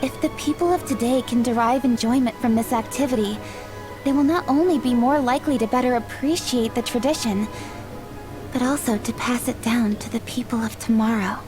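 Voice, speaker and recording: A gentle, knowledgeable young woman voiced by an actress, measured and formal in her speech. Her voice sits a little high. Clear game music plays behind her. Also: lightly acted. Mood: explaining. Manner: soft-spoken, measured, formal.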